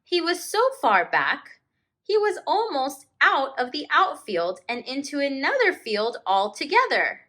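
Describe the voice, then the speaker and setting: A woman with a high, bright voice speaks as a teacher sharing a story with young children. She reads expressively and takes her time, with lively swings in pitch and deliberate emphasis on key words. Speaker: teacher reading a picture book aloud.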